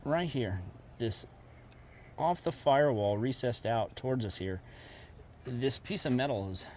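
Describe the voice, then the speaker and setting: A man's voice: mid-range voice, expressive, unhurried, darkish tone, slight background noise. A man giving a casual how-to walkthrough of his own vehicle work, plainspoken and folksy, trailing off and restarting as he points things out, recorded outdoors on a camera mic while he moves around. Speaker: car modification vlogger.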